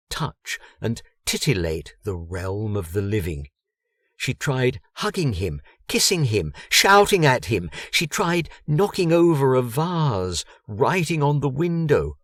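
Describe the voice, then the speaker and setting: A man reading an audiobook in a measured way with dry humour, close on the mic. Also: medium pitch, no room sound. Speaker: audiobook narrator. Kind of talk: scripted narration.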